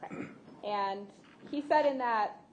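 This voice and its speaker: A woman's voice: on the high side, unhurried, lo-fi sound, a little hiss or hum. A woman attorney putting formal questions to a witness in a courtroom.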